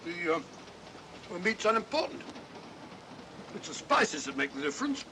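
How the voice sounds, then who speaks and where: An actor playing a genial, opinionated older English doctor with a British accent and a blustery, chatty manner in an old mystery film. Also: male voice, sits high, very animated, moderate pace, sharp tone, full character voice. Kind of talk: 1940s mystery film acting. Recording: noisy old film soundtrack.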